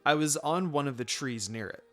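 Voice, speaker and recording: A man's voice: fairly high pitch, normal speed, close to an everyday voice. Man voicing a feisty, blunt young ninja woman. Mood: shy, hesitant.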